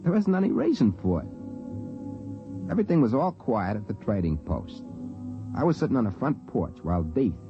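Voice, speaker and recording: A man's voice: lowish voice, sing-song, normal speed, long pauses, over music. An American actor playing a folksy, easygoing trading post man who talks colloquially in a 1950s sci-fi radio drama. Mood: wry storytelling. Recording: muffled old radio recording.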